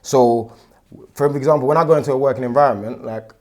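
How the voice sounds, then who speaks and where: Fast-talking young London man. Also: medium pitch, a little mellow. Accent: London English. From England. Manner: earnest, builds points with repeated "do you get what I'm saying". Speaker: panel co-host. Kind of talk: casual panel discussion.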